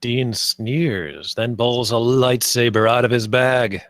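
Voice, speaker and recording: A man's voice: medium pitch, takes it slowly, fairly bright tone, no echo. Man narrating a script, dry sound. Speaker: narrator reading stage directions. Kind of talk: scripted narration at a screenplay table read.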